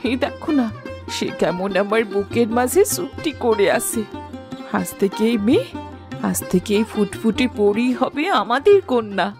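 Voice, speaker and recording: Expressive, emotional village mother. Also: fairly high pitch, normal speed, over music. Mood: tender joy. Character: poor fisherman's wife, tender, devoted adoptive mother. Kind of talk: Bengali fairy-tale cartoon acting.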